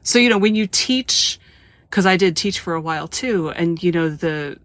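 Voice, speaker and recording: An American woman with a fairly low voice hosts a conversational interview. Her sound is dry and close, with very little room around it. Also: expressive, normal speed, a bit bright, no echo. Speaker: podcast host interviewing guests.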